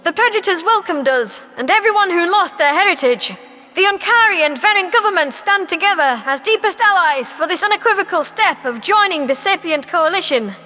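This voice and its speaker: Man doing a bright, very high alien ambassador. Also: animated, brisk, exaggerated voice. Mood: grateful, earnest. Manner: earnest, formal oratory. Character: bright, earnest alien ambassador, grateful and formal. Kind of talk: sci-fi audiobook character speech. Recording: long hall reverb.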